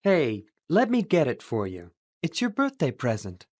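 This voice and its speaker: A man's voice: on the high side, huge pitch swings, a little slow, darkish tone, heavily acted. A man voicing an encouraging shopper in a scripted English lesson dialogue. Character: generous shopper encouraging a friend.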